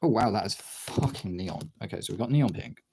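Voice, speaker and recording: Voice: sits low, animated, fairly quick, creaky, noisy background. A streamer chatting casually while drawing, rambling and thinking aloud in a self-deprecating way.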